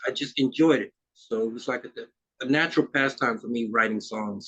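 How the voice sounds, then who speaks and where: A man's voice: mid-range voice, a bit bright, highs cut off. A man in his fifties from Trinidad, a musician guest talking in an easygoing, rambling way with plenty of fillers. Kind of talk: casual interview chat.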